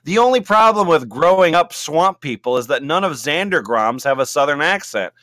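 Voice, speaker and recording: An excitable storybook artist, acted out by a man, easily distracted and chattering. The pitch rides high and swings around, in a dry, close recording. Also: moderate pace, no room sound. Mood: rambling, bemused.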